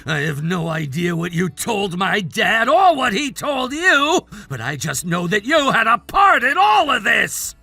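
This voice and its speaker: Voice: high-pitched, sing-song, slow, creaky, long pauses, pushed and bright, dry recording, exaggerated voice. A performer voicing a spoiled, entitled young adult son in a melodramatic story, whiny and indignant. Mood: accusing, furious. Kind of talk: melodramatic narrated story dialogue.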